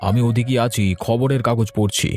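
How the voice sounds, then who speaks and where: A man playing a stingy, grumbling middle-aged village father in a Bengali animated cartoon, talking in a dismissive, complaining way. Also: mid-range voice, fairly quick, soft background music, lightly acted. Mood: matter-of-fact.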